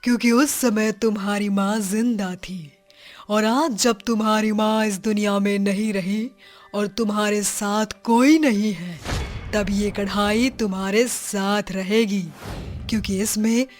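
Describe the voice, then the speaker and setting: A woman voicing a wise, kindly magic cooking pot in a cartoon moral story, calm and explanatory. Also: mid-range voice, measured pace, soft background music, lightly acted. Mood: calm, explaining.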